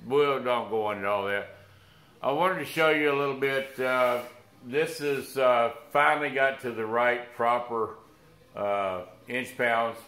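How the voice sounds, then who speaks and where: Older man, scratchy flu-worn voice, slow halting delivery, folksy workshop host, echoey room. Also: mid-range voice, a bit bright. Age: older, around 60s. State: unwell with flu. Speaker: host of a workshop restoration vlog. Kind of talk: unscripted how-to talk to camera. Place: cluttered workshop, indoors. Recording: roomy workshop echo.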